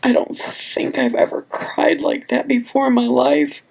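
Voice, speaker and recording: A woman vlogging about her planner, speaking tearfully and haltingly, repeating words, with a cold and sniffling. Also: high voice, level tone, a little mellow, rough recording. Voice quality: husky, gravelly. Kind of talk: tearful personal storytelling to camera.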